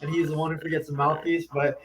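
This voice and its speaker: A man's voice: on the high side, little pitch movement, fast talker, fairly bright tone, a little hiss or hum, rough recording. A man who is a fighter, teasing his brother with dry jokes in a casual interview. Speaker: fighter, guest.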